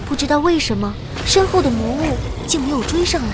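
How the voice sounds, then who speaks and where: A woman's voice: sits high, expressive, fast talker, very noisy. A woman playing a young adventurer who narrates her story in a dramatic audio-drama style. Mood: tense, dramatic.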